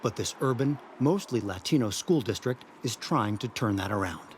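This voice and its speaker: American male reporter with lively pitch. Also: normal speed, slight background noise. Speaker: news correspondent. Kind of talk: scripted news narration.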